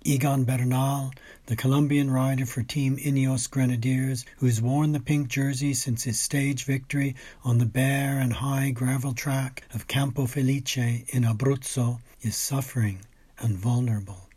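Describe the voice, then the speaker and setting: Husky, mellow man narrating. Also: mid-range voice, flat delivery, unhurried. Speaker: food, wine and travel writer. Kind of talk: scripted narration of cycling race report.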